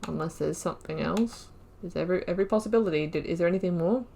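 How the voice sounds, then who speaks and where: A woman's voice: fairly low pitch, fast, vocal fry, mellow, slight background noise. A woman hosting a game playthrough, reading lore entries aloud and breaking off into casual, rambling asides. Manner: chatty, trails off and restarts mid-sentence. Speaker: gaming video host.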